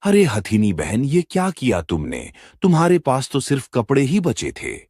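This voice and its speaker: A man's voice: medium pitch, huge pitch swings, normal speed, a little mellow, breathy edge, no room sound, studio quality. A man voicing a bear moneylender in a children's moral-story cartoon, dramatic and lamenting in manner. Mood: shocked. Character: male bear moneylender, scheming yet remorseful. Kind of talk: Hindi animated moral story dialogue.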